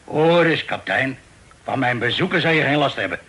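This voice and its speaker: A man's voice: mid-range voice, animated, pushed and bright, husky. An actor in a Dutch radio drama playing a captive sea captain, a pious, wry and stubborn family man who talks in a folksy way. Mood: firm, bargaining. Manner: folksy, wry, unhurried storytelling.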